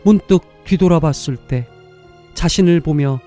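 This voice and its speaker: A man's voice: a little high, normal speed, dark tone. A man reading scripted story narration as the narrator of a drama. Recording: music behind the voice.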